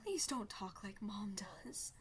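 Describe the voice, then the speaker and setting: A woman's voice: mid-range voice, very animated, slow pace, husky, exaggerated voice. A woman playing a young, rebellious princess in a fantasy audio drama, headstrong, pleading and emphatic. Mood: downcast.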